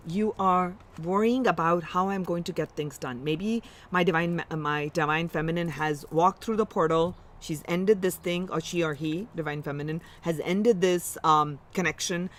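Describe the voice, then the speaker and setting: A woman with an Indian accent giving a live tarot reading, musing aloud and reassuring her listeners, often breaking off and restarting. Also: low voice. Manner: musing, warm, reassuring, with self-interruptions. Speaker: tarot reader.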